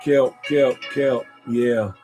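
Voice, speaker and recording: A man's voice: medium pitch, steady tone, drawn-out words, music in the background. A man chatting casually and in a rambling, folksy way as a CB radio operator hosting a show.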